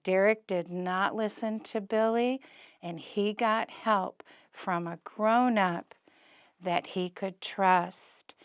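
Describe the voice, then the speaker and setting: Slow, clear-worded woman teaching young children. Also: medium pitch, steady tone, no room sound. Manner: simple, emphatic, questioning, addressing small children. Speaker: puppeteer and children's presenter. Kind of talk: child-safety puppet lesson for young children.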